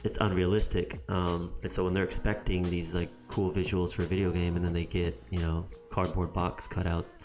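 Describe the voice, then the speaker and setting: A man's voice: low-pitched, flat delivery, a little fast, hardly any pauses, music in the background. A man co-hosting a podcast, talking casually and thinking aloud with frequent ums. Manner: thoughtful and rambling, with many ums. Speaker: podcast co-host. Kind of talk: casual chat.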